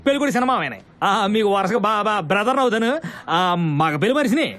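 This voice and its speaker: High-pitched, rapid-fire nosy wedding guest. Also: male voice, lively intonation, fairly bright tone. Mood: chatty grumbling. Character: nosy, chattering, grumbling wedding guest from groom's side. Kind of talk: film comedy dialogue.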